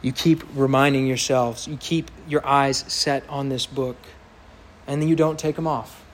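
A pastor preaching an earnest, emphatic sermon to his congregation in a church sanctuary, with a loud voice that builds to impassioned peaks. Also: medium pitch, fairly even intonation, unhurried, a little hiss or hum.

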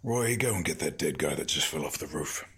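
A crooked small-town lawman with a very low, husky voice. He is smug and menacing, taking his time as he taunts, in audio drama style. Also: animated, a bit bright, no room sound, heavily acted. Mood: cold menace. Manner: unhurried, taunting, arrogant.

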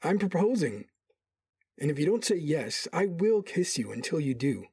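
Husky-voiced man as proud warrior in love. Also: on the high side, big pitch swings, normal speed, darkish tone, dry recording, studio quality, heavily acted. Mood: ardent, insistent. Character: proud, ardent male warrior in love, narrating. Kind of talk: first-person audio drama narration and acting.

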